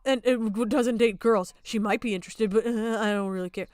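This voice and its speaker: A woman's voice: fairly high pitch, steady tone, brisk, darkish tone, dry recording, studio quality. A woman streamer giving a casual, blunt reaction rant, swearing heavily and indignantly.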